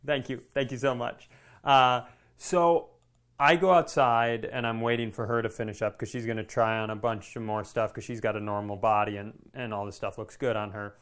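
A man co-hosting a comedy podcast, telling anecdotes casually with animated, self-deprecating delivery and some swearing. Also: medium pitch, smooth. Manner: animated storytelling with profanity.

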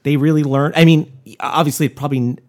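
A man co-hosting a casual podcast, hoarse from losing his voice, rambling with lots of fillers. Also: fairly high pitch, fairly even intonation, quick pace, a little mellow. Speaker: podcast co-host. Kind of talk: casual history podcast chat.

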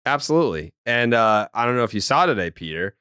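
Bright-voiced excitable man hosting. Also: medium pitch, fast, clear, resonant tone, no echo, clean studio sound. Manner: loud, teasing, excitable. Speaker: podcast host. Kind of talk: casual chat.

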